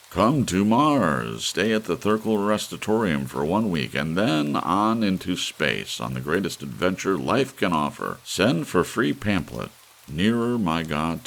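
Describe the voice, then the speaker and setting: A man's voice: extremely low pitch, gravelly, low-quality recording. A man reading a story aloud as an audiobook narrator, in an ASMR bedtime style. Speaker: audiobook reader. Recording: faint hiss, soft rain sound. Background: soft rain.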